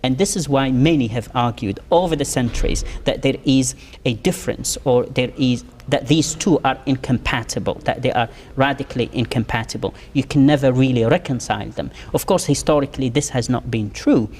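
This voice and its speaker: A middle-aged man from Morocco, a professor guest, answering interview questions in a measured way with many pauses. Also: medium pitch, a little mellow, big-room sound, slight background noise.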